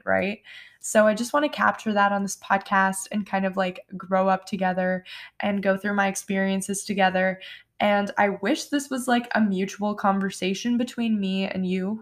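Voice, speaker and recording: A woman in her twenties from New York, hosting her own podcast late at night in a chatty, rambling way with many "like" fillers and no regional accent. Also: mid-range voice. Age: 20s. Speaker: podcast host. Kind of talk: casual solo podcast chat. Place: home at night.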